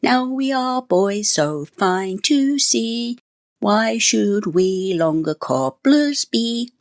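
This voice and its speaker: A man singing as a gleeful, high-pitched little elf. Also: expressive, dry recording, studio quality, heavily acted. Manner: slow, gleeful sing-song. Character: two little merry singing elf men. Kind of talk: storybook character singing.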